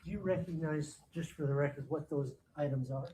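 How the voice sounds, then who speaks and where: Man, courtroom prosecutor, warm dark tone, methodical but halting questioning. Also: fairly high pitch, a little hiss or hum, low-quality recording. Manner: halting, rephrases questions mid-sentence.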